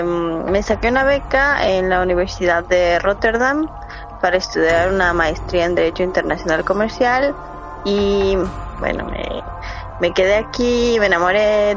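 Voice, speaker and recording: A woman's voice: lowish voice, moderate pace, faint music behind. Hesitant Ecuadorian woman on a dull line. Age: 30s. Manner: hesitant, with many fillers. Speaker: guest telling a personal story. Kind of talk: casual remote interview.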